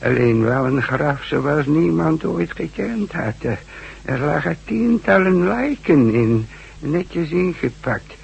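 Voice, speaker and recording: An actor playing a friendly hundred-year-old village man who rambles and hesitates in a shaky, husky, creaky voice, in a radio drama. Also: fairly high pitch, animated, muffled recording, exaggerated voice. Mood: awed, halting. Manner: halting, hesitant, rambling storytelling.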